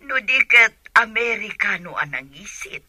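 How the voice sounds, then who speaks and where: Exasperated, confiding Filipina mother, radio serial; piercingly bright, animated, dry sound. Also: medium pitch, a little slow, no room sound, exaggerated voice. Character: middle-aged mother, exasperated, confiding gossip. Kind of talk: Ilocano radio drama acting.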